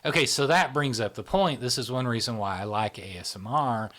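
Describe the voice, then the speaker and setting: A middle-aged man explaining psychology in a relaxed interview, often checking in with "right?". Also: mid-range voice, fairly quick. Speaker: psychology channel founder, explaining. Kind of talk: explanatory conversation.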